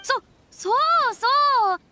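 An actress playing a proud young former water goddess in an anime-style game, grandiose and boyish in phrasing, full of bluffing confidence. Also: female voice, slow, sharp tone. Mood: proudly bluffing.

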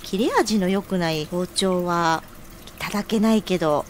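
A woman's voice: lowish voice, moderate pace, slight background noise, natural voice. An actress playing a caring young woman in an audio drama, with a cheerful, affectionate, feminine way of talking. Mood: caring, eager. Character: caring, eager young woman offering to cook for her man.

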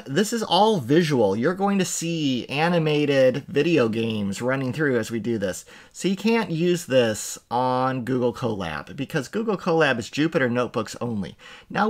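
Middle-aged male instructor, mid-pitched, casual explanatory lecture delivery at a desk mic. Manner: conversational, explanatory, occasional restarts. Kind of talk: screen-recorded coding lecture.

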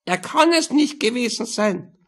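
A man's voice: extremely high pitch, expressive, a little slow, a bit bright, pitched above the performer's own voice, exaggerated voice. A man voicing a confused, insistent woman of nearly eighty in an audiobook. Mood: earnest, insistent.

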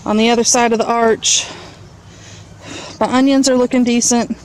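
A woman's voice: on the high side, fairly even intonation, moderate pace. A woman giving a casual, off-the-cuff tour of her garden as a home gardener, talking outdoors in a backyard into a handheld camera. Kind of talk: casual off-the-cuff vlog narration. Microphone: handheld camera mic, held by speaker. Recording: clear outdoor ambient noise, handheld camera.

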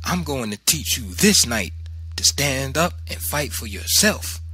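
A man's voice: a little high, lively intonation, moderate pace, bright, forceful tone, breathy edge, dry recording, faint music behind, voice pushed up, exaggerated voice. A man voicing a stern, unyielding mother in an audiobook, giving firm commands. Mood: deadly stern. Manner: commanding, deadly firm, Southern colloquial phrasing. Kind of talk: dramatized audiobook narration.

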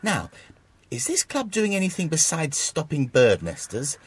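A man's voice: fairly high pitch, wildly swooping pitch, unhurried, husky, full character voice. An actor with a British accent playing a shifty, over-polite man who poses as a friendly bird lover in a children's audio drama. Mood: affably curious.

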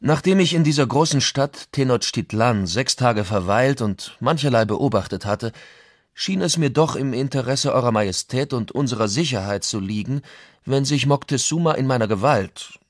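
A man's voice: medium pitch, expressive, normal speed, no echo, clean studio sound, close to an everyday voice. A man playing a Spanish conquistador who recounts events in a formal memoir for an audio documentary. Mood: measured, formal. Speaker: reader of historical quotations. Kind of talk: dramatic reading of old chronicles.

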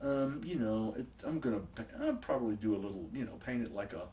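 A man's voice: lowish voice, expressive, fast talker, mellow, a little hiss or hum. A man in his sixties rambling to a camera held at arm's length in a small garage workshop, blunt and profane, with many restarts. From born and raised in Germany. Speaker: vlogger. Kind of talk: casual rambling monologue to camera. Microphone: camera held close at arm's length.

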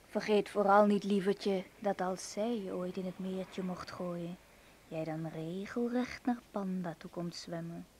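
Casting: woman as flirtatious villainess, low-ish, drawn-out delivery, radio play, faint noise. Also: fairly even intonation, close to an everyday voice. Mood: teasing, seductive. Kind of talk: Dutch radio drama acting.

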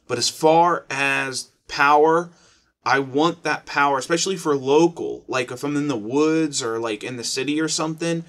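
A man's voice: fairly high pitch, normal speed, a bit bright. A man in his thirties with an American accent, explaining things casually and bluntly to camera as a video host. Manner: opinionated, conversational, blunt. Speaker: host of an emergency radio advice channel. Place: furnished room at home.

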